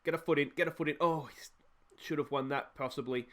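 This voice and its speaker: An Australian man hosting a casual football management game let's play, a little croaky from a cold, chatting and reacting excitedly to match highlights. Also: fast talker. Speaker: gaming channel host.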